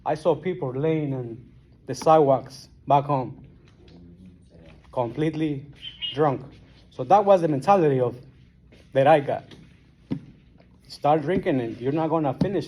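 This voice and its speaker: Speaker at a recovery meeting: a Guatemalan-accented man in his forties, mellow tone, slight room hiss behind him. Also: on the high side. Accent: Guatemalan Spanish. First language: Spanish. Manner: halting, plain-spoken, restarts often. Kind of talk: personal recovery story.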